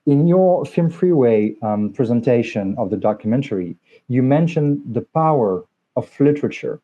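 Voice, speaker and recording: A man's voice: medium pitch, lively intonation, normal speed, warm tone. A man interviewing a film director at a festival, asking questions in an easy, encouraging way. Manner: conversational, encouraging. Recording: dull lo-fi sound.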